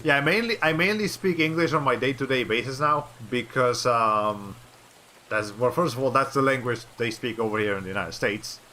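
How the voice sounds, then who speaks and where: A man's voice: medium pitch, moderate pace, bright, forceful tone, slight background noise. A young man from Colombia, a native Spanish speaker, chatting casually on a livestream in a rambling way with many fillers and restarts. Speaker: VTuber streamer.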